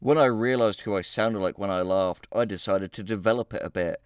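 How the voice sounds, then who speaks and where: A man's voice: lowish voice, a little fast, smooth, dry recording. A man hosting a casual comedic chat, swearing and joking as he reads entries aloud and comments on them. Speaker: show host reading out web entries.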